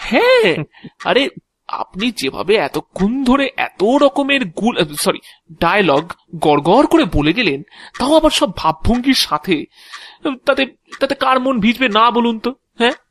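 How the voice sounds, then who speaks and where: Audio drama clerk, played by a man: excitable, talkative, sarcastically flattering, very animated intonation, dry close recording. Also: very high-pitched, moderate pace, dry recording, exaggerated voice. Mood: gushing, mocking. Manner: animated, gushing, stammering repetitions. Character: excitable, chatty male private-office clerk, sarcastically flattering. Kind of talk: Bengali audio drama dialogue acting.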